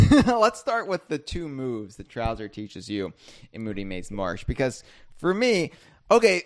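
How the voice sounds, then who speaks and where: A man's voice: mid-range voice, huge pitch swings, a little slow. A man hosting a podcast, chatting casually in a rambling, self-deprecating, joking way. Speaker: podcast host.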